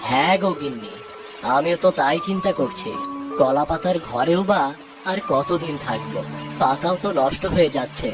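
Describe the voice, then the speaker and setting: A man voicing a troubled, caring village husband and father in a cartoon story, earnest and expressive. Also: on the high side, moderate pace, natural voice, rough recording. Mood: worried. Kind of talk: dramatic cartoon story dub. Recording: loud music under the voice.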